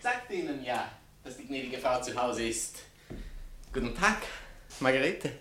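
An actor playing a vain, sardonic bohemian writer and spurned lover, pompous and mocking, in a satirical radio play. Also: male voice, mid-range voice, lively intonation, measured pace, bright, forceful tone, slight background noise. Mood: grandiose. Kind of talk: theatrical literary satire dialogue.

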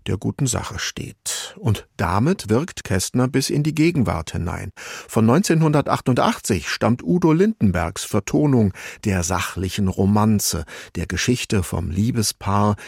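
A man reads a scripted music feature as a radio presenter, in a measured, explanatory manner. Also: fairly low pitch, vocal fry, a little mellow, breathy edge, no room sound, clean studio sound.

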